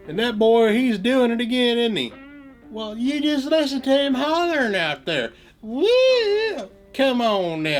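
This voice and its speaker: A man's voice: high-pitched, pitched above the performer's own voice. A man voicing a skeptical, busy villager in a folk tale, drawling complaints.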